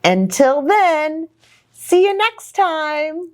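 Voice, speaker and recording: A woman reading a children's picture book aloud with expressive, sing-song storytelling. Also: sits high, very slow. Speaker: children's story reader, church pastor.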